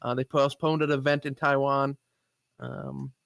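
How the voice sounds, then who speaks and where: A man's voice: medium pitch, flat delivery, a little slow, gravelly, fairly bright tone, no room sound, studio quality. A man co-hosting a live stream, chatting casually about gaming news in a rambling way. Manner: rambling, lots of fillers and agreement. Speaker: podcast co-host. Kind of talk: casual chat.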